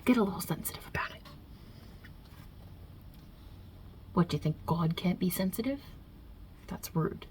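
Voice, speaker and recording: A woman voicing God as a wry, touchy Italian mob boss in an ASMR roleplay, with a husky, breathy voice and a put-on Italian-American New York accent. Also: fairly low pitch, a little hiss or hum, lightly acted. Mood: touchy, defensive. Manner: casual, wry, chatty, with softly murmured asides.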